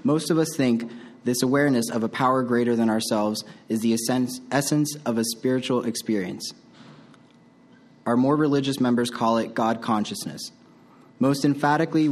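A man reading a passage aloud at a meeting in a steady, measured way. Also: mid-range voice. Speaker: meeting reader.

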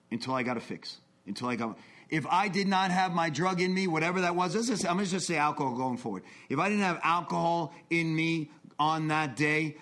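Earnest man, forties, slightly high voice, testimony-style talk that swings from intense to quiet. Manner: earnest, confessional, swings between intense and hushed. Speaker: recovery speaker.

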